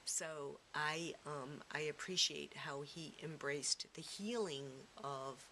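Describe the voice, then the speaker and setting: A middle-aged woman giving a reflective, personal interview, speaking haltingly as she searches for her words. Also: sits low, pushed and bright. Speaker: theatre performer being interviewed. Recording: clearly noisy room recording.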